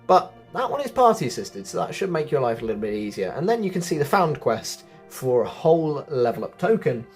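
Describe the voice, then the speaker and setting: Man with lively, swooping gameplay commentary. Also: a little high, fairly quick, faint music behind. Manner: chatty, thinking aloud. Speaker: video game guide host. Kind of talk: casual gameplay walkthrough commentary.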